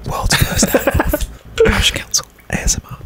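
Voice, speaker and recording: A man's voice: a little slow, very noisy, lightly acted. A man putting on a mock-solemn, pompous ASMR presenter, speaking in whispers.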